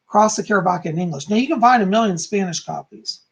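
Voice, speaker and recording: A calm, soft-spoken man who is hoarse and losing his voice, teaching on a livestream and reading aloud from a book. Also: sits high, fast. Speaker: psychic teaching about folk magic.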